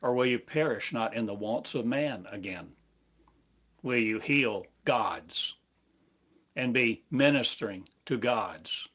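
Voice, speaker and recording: Slow, solemn male preacher. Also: mid-range voice, lively intonation, a bit bright. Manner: solemn, measured, long pauses between phrases. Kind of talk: slow prophetic sermon speaking as God.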